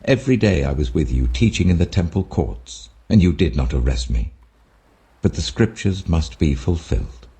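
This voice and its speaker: A man with a British accent voicing a grave, sorrowful but commanding male teacher in a scripture reading, husky and weighty. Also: expressive, warm tone, exaggerated voice. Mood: firm rebuke. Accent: British Received Pronunciation. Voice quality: deep, husky. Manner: slow, weighty, admonishing.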